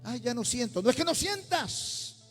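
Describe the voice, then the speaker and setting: A man's voice: extremely high pitch, big pitch swings, normal speed, slightly rough, in a large hall, faint music behind. A male pastor with a Peruvian Spanish accent, preaching a sermon with rising intensity and bursts of speaking in tongues. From Peru. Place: church sanctuary.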